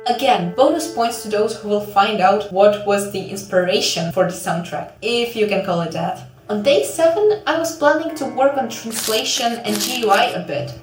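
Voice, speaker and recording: A woman from Ukraine, a native Ukrainian speaker, narrating a video devlog in an informal, self-deprecating way. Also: fairly high pitch. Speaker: video creator and game developer. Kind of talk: scripted devlog narration with casual asides. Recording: roomy echo, distant mic, background music.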